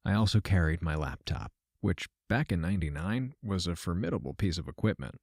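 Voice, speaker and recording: A man's voice: sits low, expressive, brisk, dry recording. A man playing a wry, self-deprecating computer scientist, giving a dry, confessional monologue in an audio drama. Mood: dry, reflective. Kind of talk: first-person fiction podcast monologue.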